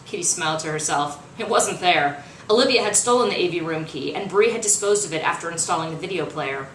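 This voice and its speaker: A woman reading fiction aloud as its author, in expressive, dramatic narration. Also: on the low side, brisk. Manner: dramatic, acts out dialogue. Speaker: author reading their own novel. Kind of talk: expressive audiobook-style narration. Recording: roomy, distant mic, faint noise.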